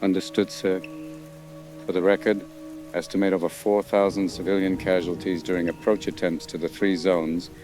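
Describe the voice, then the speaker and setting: An actor playing a dutiful army sergeant in a radio drama, giving grim reports in a formal, procedural, sober way. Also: male voice, deep voice, flat delivery, moderate pace, over music, natural voice.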